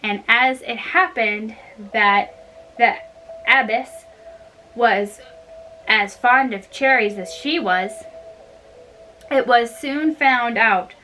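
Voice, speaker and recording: A woman reading storybook tales aloud in a halting, deliberate way, with casual wry asides. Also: a little high, sharp tone, faint music behind, poor audio. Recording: noticeable background noise.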